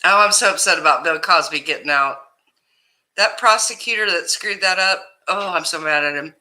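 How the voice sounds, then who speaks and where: A woman hosting a casual livestream, greeting viewers by name in a loud voice that carries, chatting and laughing easily. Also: lowish voice, normal speed, rough recording. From Ohio, USA. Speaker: livestream host.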